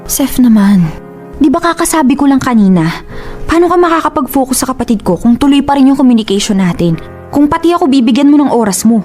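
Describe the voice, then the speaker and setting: An actress playing a conflicted young woman in a Filipino radio drama, protective of her sister, emotional and quick to scold. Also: sits high, animated, a little mellow. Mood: pleading, upset. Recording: music under the voice.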